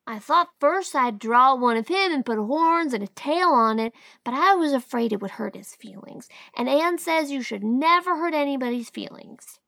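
A woman's voice: high voice, expressive, normal speed, gravelly, few pauses, fairly bright tone, dry recording, pitched above the performer's own voice, full character voice. A woman voicing a chatty, eager six-year-old boy. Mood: chattering eager. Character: boastful lively small boy, about six.

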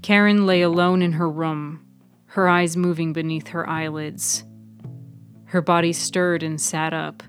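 Low-voiced woman reading scripted narration. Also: fairly even intonation, a little slow, soft background music. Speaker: writer and narrator of a fiction podcast.

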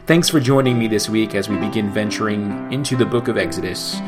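A man teaching how to chant scripture, explaining slowly and breaking into chanted phrases. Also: fairly low pitch, music in the background. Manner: slow, measured explanation between chanted phrases. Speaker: cantor teaching Torah chanting.

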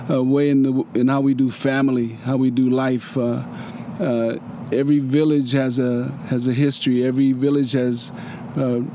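A man from Samoa with a dark, mellow voice talks through his stories patiently, restarting phrases as he goes. A dry sense of humor runs under his plain, relaxed delivery. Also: mid-range voice, a little hiss or hum. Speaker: interview guest. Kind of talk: casual storytelling conversation.

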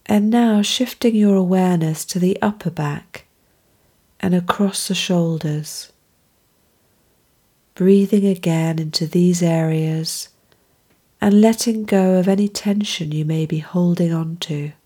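Very slow, mellow woman guiding meditation. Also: on the low side, breathy edge. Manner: calm, soothing, unhurried with gentle phrasing. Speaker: meditation guide. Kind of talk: guided mindfulness meditation.

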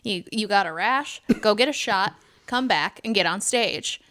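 A woman's voice: medium pitch, animated, unhurried, a bit bright. A woman in a casual podcast chat, frank and emphatic, repeating points to drive them home. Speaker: theatre company podcast speaker, stage manager.

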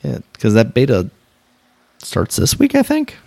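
A man's voice: medium pitch, huge pitch swings, unhurried, gravelly, darkish tone, dry recording. A man co-hosting a casual gaming news podcast, joking dryly and laughing often. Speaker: podcast co-host.

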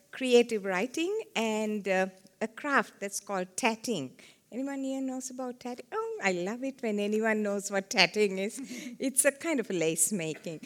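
A woman in her seventies from Sri Lanka, a guest speaker giving a live seminar talk, chatty and self-deprecating with frequent laughter. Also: on the high side. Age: 70s. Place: seminar room with a small audience.

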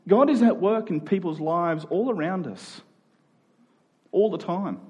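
A man's voice: sits high, expressive, a little slow, darkish tone, slightly rough, dull audio. A man preaching a conversational sermon in an informal but emphatic way, repeating key phrases to drive them home.

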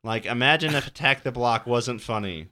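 A man's voice: mid-range voice, moderate pace, bright, forceful tone, no room sound. A man co-hosting a casual movie commentary, dry and sarcastic, riffing with opinions.

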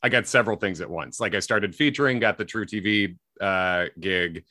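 A man with a slight Chicago accent talks in a bright, forward voice that sits a little low in pitch. He rambles in a casual interview, piling up "likes" and restarts. The sound is dry and close. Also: normal speed, dry recording. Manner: rambling, self-correcting, frequent likes and ums. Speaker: comedian guest.

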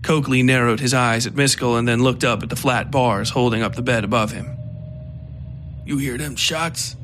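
A male narrator reads dark horror fiction in a steady, measured voice at a middling pitch. A faint hiss sits under the recording.

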